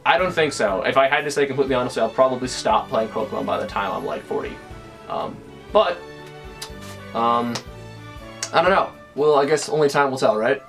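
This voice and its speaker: A man's voice: mid-range voice, expressive, a little fast, long pauses, fairly bright tone. Young man, late teens, casual vlog talk, rambling, restarts sentences, faint music behind. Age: young adult. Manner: rambling, full of restarts and fillers. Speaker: YouTuber.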